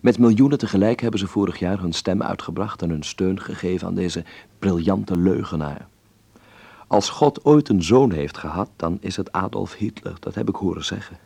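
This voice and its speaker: A man's voice: low-pitched, lively intonation, moderate pace, gravelly, mellow, husky, exaggerated voice. A man playing a wry, uneasy observer reading his letter aloud in an intimate, reflective radio drama monologue. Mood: wryly ironic. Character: male letter writer in 1933 Berlin, wry, uneasy observer.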